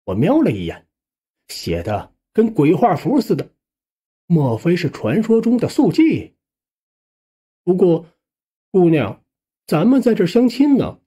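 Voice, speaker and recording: A man's voice: sits high, mellow, slightly rough. A man narrating a first-person story as an audiobook, acting out the dialogue expressively.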